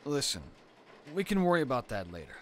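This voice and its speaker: A man playing a caring, gently teasing young boyfriend in an intimate roleplay audio drama, reassuring his partner with sighs and soft talk. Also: mid-range voice, sing-song, a little fast, a little hiss or hum, lightly acted. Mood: soothing, reassuring.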